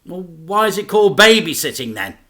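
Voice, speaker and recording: A man voicing an earnest, curious young boy who asks eager, slightly halting questions. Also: sits high, sing-song, pushed and bright, pitched above the performer's own voice, full character voice.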